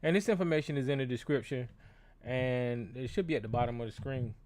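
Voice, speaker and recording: A man's voice: medium pitch, fairly quick, darkish tone. A man hosting a call-in show, talking bluntly and with a lot of profanity, often restarting his sentences. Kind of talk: casual call-in show monologue. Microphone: desk microphone with board.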